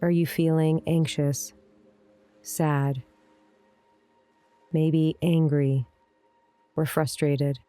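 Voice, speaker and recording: A woman leading a guided meditation in a gentle, soothing, unhurried way, with deliberate pauses. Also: sits low, little pitch movement. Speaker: meditation guide, yoga teacher. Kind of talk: guided meditation, scripted narration. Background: soft instrumental music.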